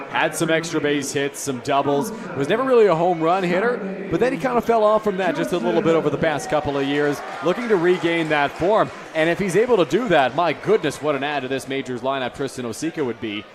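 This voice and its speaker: A man giving enthusiastic, emphatic color commentary on a live baseball game at an outdoor park. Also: on the high side. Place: outdoor ballpark. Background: crowd noise.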